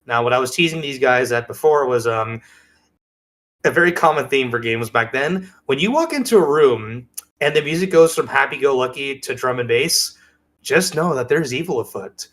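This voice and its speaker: A man's voice: medium pitch, animated, normal speed, a bit bright. A man chatting casually as a podcast co-host, telling things in an enthusiastic, rambling way. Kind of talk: casual chat.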